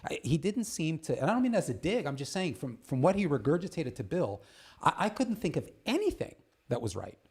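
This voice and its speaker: Fast-talking husky man, Portuguese first language. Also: a little high, very animated, a little mellow. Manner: earnest, storytelling, quick restarts. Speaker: podcast co-host. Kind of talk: casual chat.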